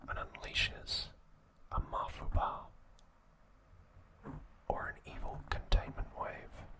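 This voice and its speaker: A man narrating trivia for ASMR in a soft, breathy whisper, reading deliberately in short broken phrases. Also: poor audio.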